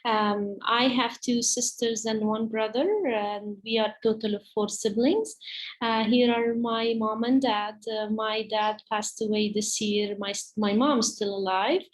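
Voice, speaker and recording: A woman whose first language is Arabic speaks with an Arabic accent as a teacher presenting to parents, in a halting, hesitant way. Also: a little high, little pitch movement, low-quality recording. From Palestine.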